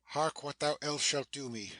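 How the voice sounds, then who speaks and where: A man's voice: medium pitch, normal speed, pushed and bright, heavy reverb, big-room sound, natural voice. A man playing a commanding older sorcerer and exiled duke in a dramatic Shakespeare audiobook.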